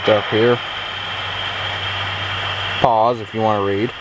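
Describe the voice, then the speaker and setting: An American man casually talking through a demonstration in an unhurried, rambling way, recorded on a handheld camera inside a pickup truck. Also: fairly low pitch, level tone. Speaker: vlogger demonstrating an old CB radio. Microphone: handheld camera, speaker off screen. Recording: very noisy, radio static and vehicle ambience.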